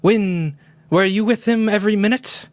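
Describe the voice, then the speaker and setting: A man playing a bluff, excitable upper-class British gentleman with theatrical exclamations in an amateur radio play. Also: sits high, normal speed, no room sound, close to an everyday voice. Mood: grave, urgent. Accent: put-on British. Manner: theatrical, old-fashioned exclamations.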